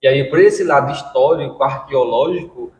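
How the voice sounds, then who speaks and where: A man's voice: mid-range voice, a little mellow. A man, a history commentator reflecting aloud on a podcast. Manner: quick, reflective, thinks aloud. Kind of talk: podcast conversation. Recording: echoey reverberant room, faint noise.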